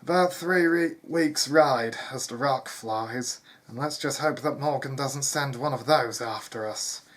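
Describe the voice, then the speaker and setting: A storyteller voicing an urgent, protective lordly father in a fantasy tale, with a forceful, commanding manner. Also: medium pitch, measured pace, few pauses, natural voice. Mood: worried.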